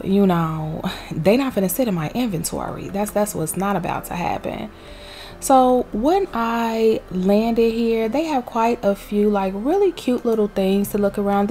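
A woman's voice: medium pitch, warm tone. A young woman, chatting peppily in a casual vlog voice-over recorded at home on a desk microphone. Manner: chatty, peppy, uses Southern US slang. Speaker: vlogger. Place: home room with loud heater.

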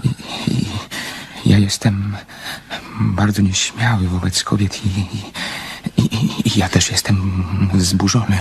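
A man's voice: lowish voice, level tone, slow, vocal fry, background noise. An actor playing a shy, lonely dreamer in his twenties, hesitant and stammering in an earnest radio drama. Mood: nervous, stammering. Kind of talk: Polish radio play acting.

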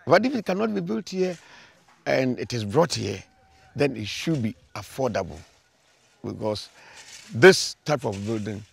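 An older man, explaining things outdoors at a building site, slow and deliberate with pauses between phrases. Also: medium pitch, very animated, vocal fry, slightly rough.

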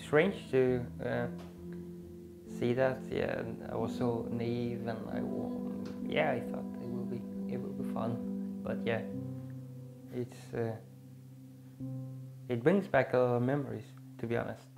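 A man in his twenties speaking softly and reflectively in a sit-down interview at home on a lapel mic. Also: medium pitch, steady tone, unhurried, vocal fry, pauses often, music in the background, rough recording. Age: 20s. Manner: soft-spoken, reflective, with fillers. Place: apartment living room indoors.

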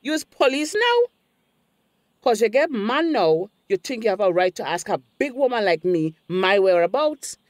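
An actress playing a nosy, indignant older village auntie in a radio drama, scolding in Creole with a Caribbean accent. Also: female voice, medium pitch, very animated, normal speed, no room sound, lightly acted.

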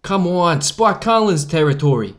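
Brash young newsboy leader, played by a woman, lively swooping delivery, streetwise. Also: lowish voice, moderate pace, close to an everyday voice. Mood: rallying. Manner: brash, rallying, street slang. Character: cocky young male newsboy strike leader. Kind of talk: amateur audio drama acting.

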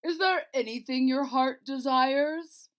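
A woman voicing a demanding old king in a storybook, loud and commanding, pitched high above her own voice. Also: slow pace. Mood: coaxing.

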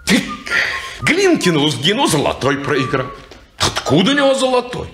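A man's voice: high voice, huge pitch swings, moderate pace, roomy sound. An actor playing a nosy, mocking, suspicious man in a 1950s radio play. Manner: prying, mocking.

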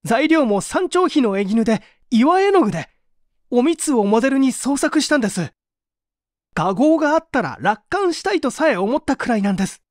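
An actor playing a poor, contrite painter making an earnest, theatrical confession in a dramatic audio mystery. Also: male voice, extremely high pitch, sing-song, moderate pace, no echo, studio quality. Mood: defensive, agitated. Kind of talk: dramatic Japanese audio reading of a mystery story.

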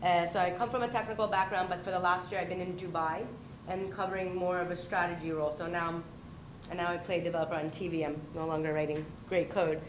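A woman's voice: lowish voice, steady tone. American woman presenting in an echoey hall. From United States. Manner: chatty, quick asides and self-corrections. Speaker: tech workshop presenter. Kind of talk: live tutorial presentation. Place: large conference room. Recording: echoey conference room with background noise.

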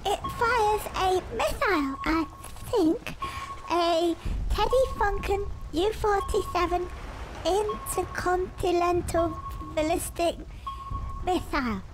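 A woman's voice: very high-pitched, over music, poor audio. A woman voicing a sweet, hesitant little girl in a video game cutscene. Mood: innocent. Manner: slow, hesitant, babyish. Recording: game audio with background noise.

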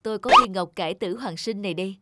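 A woman's voice: medium pitch, quick pace, heavy background noise, natural voice. A woman voicing a kindly, humble young male scholar in a cartoon folk tale, speaking politely and earnestly. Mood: confiding.

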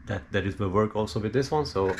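A man giving a casual, unscripted hands-on explainer as a hobbyist, halting and hesitant as he thinks aloud. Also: on the low side. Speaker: DIY electronics hobbyist presenter. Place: small indoor home workshop. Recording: steady background noise.

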